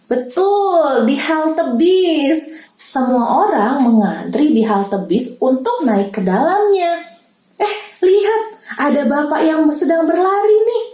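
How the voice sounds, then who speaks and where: A woman reads a picture book aloud for small children, gentle and teacherly, pausing to quiz her young listener. Her voice is high but mellow, and she reads slowly. The room around her adds a clear echo. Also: animated. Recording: roomy echo.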